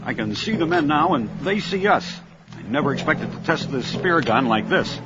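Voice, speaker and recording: A man playing a calm, protective grandfather and seasoned diver in an audio drama. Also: fairly high pitch, normal speed, natural voice. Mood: urgent. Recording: dull lo-fi recording, faint music.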